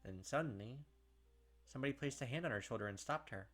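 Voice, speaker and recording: A man's voice: medium pitch, animated, fast, faint music behind. Young man reading game text aloud. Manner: casual, filler-heavy commentary. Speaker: gaming video host.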